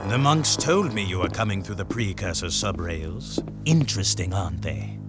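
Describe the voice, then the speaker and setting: An actor playing a pompous, zealous older count, a self-righteous video game villain with a theatrical, condescending delivery. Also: male voice, sits low, animated, moderate pace, loud music behind the voice. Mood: smug, ominous.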